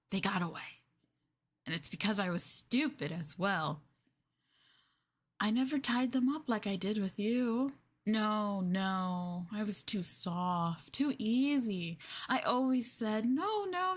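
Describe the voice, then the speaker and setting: A woman playing an obsessive, possessive girlfriend in an ASMR roleplay, sweetly menacing and coaxing the listener in a cooing manner. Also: on the high side. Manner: cooing, coaxing, breaking into giggles and whispers.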